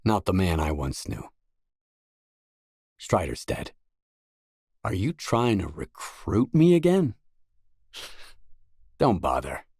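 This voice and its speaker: A man playing a grim, world-weary lone stalker in a video game, terse and brooding, with a husky voice. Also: low-pitched, a little mellow, no room sound, clean studio sound, heavily acted. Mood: grave, then weary, dismissive. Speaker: game character.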